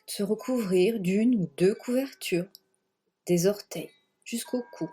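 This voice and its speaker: A woman leading a guided yoga nidra relaxation in a gentle, measured manner, leaving long pauses and repeating phrases. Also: medium pitch, husky, soft background music. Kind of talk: guided meditation, slow spoken relaxation.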